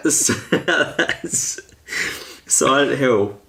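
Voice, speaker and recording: A man's voice: mid-range voice, lively intonation, drawn-out words, creaky, bright, forceful tone, reverberant. A man co-hosting a podcast, chatting casually and jokily in informal banter. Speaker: podcast co-host. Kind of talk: casual chat about films and games.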